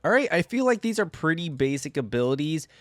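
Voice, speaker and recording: A man's voice: on the high side, sing-song, brisk, no echo, studio quality. A man hosting a reaction video, talking casually and thinking aloud as he reads and comments in a conversational way.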